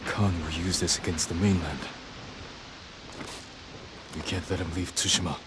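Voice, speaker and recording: A low, solemn samurai warrior, played by a male actor in a game cutscene. He speaks tersely and with measured resolve, over clear background ambience. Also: steady tone, noisy background, close to an everyday voice. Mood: grave resolve. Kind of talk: video game cutscene acting.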